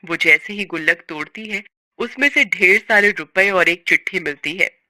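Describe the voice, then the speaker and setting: A woman's voice: mid-range voice, moderate pace, pushed and bright, dry recording. A woman narrating a scripted story in a dramatic storytelling style.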